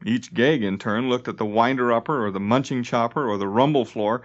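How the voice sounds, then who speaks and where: A man's voice: mid-range voice, a little fast. A man reading scripted fantasy narration dramatically, as an audiobook narrator. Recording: dull lo-fi tape transfer.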